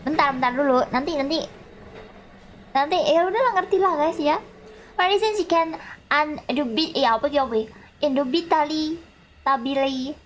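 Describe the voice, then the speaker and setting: A woman's voice: high-pitched, fairly quick, a little hiss or hum, rough recording. A woman with an Indonesian accent on a casual livestream, chatting and reading game text aloud, sometimes stumbling over words and repeating herself. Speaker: livestreamer playing and reading a visual novel.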